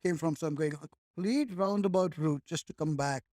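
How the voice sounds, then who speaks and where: Man, podcast guest, mellow voice, slightly high, dry close sound, rambles and restarts. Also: a little fast, dry recording. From India. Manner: rambling, with frequent restarts and "like", "right?". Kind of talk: reflective conversational chat.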